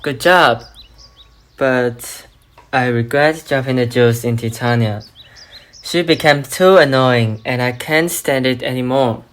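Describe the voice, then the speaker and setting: Very low-voiced commanding fairy king played by a woman. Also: takes it slowly. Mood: displeased, ordering. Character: Oberon, commanding male fairy king giving orders. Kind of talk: amateur student radio drama acting.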